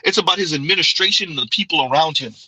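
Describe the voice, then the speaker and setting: A man's voice: a little high, rapid-fire, bright, forceful tone, breathy edge, dry recording, low-quality recording. A man hosting a live stream, reading viewer comments aloud and answering them in loose, conversational commentary that keeps circling back with "right?" and frequent laughter.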